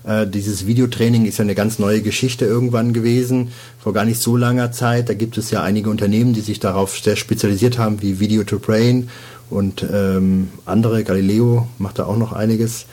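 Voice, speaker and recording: Male podcast host, lowish voice, hesitant, full of ums. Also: steady tone, moderate pace, warm tone. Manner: hesitant, many ums and restarts. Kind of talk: casual interview talk.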